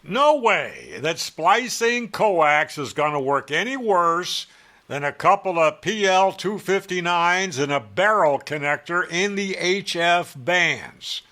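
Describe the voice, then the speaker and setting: Wry man with a bright, higher-pitched voice. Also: lively intonation, slow. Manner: wry, opinionated, emphatic. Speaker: ham radio hobbyist presenting a how-to. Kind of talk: how-to narration with sarcastic asides.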